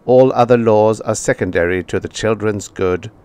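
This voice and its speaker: A man reading as a flat metallic robot teacher. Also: fairly low pitch, normal speed, clear, resonant tone, close to an everyday voice. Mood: stern. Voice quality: metallic, even, unfeeling.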